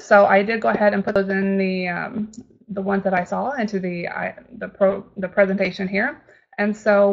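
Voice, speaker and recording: A woman's voice: mid-range voice, gravelly, muffled recording. A woman with a Texas accent, a travel agent giving a friendly, chatty webinar presentation with frequent ums and restarts.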